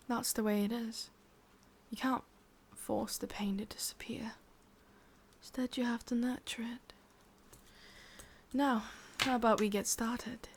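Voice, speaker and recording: A woman with an English accent voicing a possessive, sadistic witch in a slow, breathy ASMR roleplay whisper. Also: on the high side, a little hiss or hum. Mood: wistful, brooding, then coaxing, doting. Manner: slow, intimate, teasing. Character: sadistic, possessive witch, softly menacing and doting.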